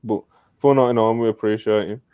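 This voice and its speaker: A man's voice: fairly low pitch, steady tone, quick pace, mellow, clear, resonant tone, dry recording. A man with a British accent hosting a casual podcast on a video call, reading out comments with a laid-back, laughing manner. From England. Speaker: podcast host. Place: home setup on a video call.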